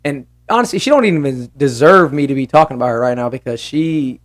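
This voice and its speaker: A man's voice: animated, brisk. A man in his twenties chatting openly on a podcast, often laughing and quoting others. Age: 20s. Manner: candid, laughs often, quotes others in lowered voice. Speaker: podcast guest. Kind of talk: casual chat.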